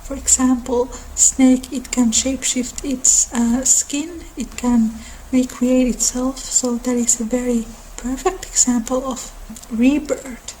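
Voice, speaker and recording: A woman who is not a native English speaker, giving a soft ASMR-style informal lecture in a halting way, as if explaining lore on her own channel. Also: high-pitched, flat delivery, slight background noise, poor audio. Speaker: host of a mythology channel.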